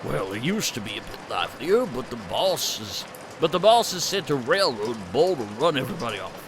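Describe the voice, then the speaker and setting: A man's voice: medium pitch, very animated, a little fast, noisy background, pitched below the performer's own voice. A man voicing a cheerful, folksy male hobo with an easygoing country drawl.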